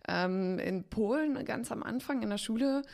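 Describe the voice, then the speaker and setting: Candid woman with a gravelly voice. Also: a little high, moderate pace, darkish tone. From Poland and eastern Germany. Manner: chatty, candid, laughs often, many fillers. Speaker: podcast guest, agency co-founder. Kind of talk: casual podcast conversation.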